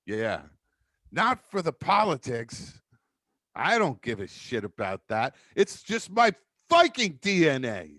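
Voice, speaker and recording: A man with a deep voice rehearsing a stand-up bit, loose, profane and self-mocking. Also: huge pitch swings, takes it slowly, fairly bright tone, no room sound, clean studio sound. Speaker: stand-up comedian.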